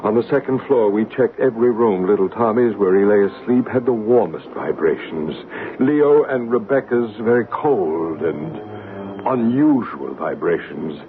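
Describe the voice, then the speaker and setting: A calm psychic medium. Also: male voice, fairly low pitch, a little slow, soft background music. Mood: narrating. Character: calm male psychic medium, gentle and assured. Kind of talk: 1970s radio drama acting. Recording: narrow radio broadcast with faint hiss.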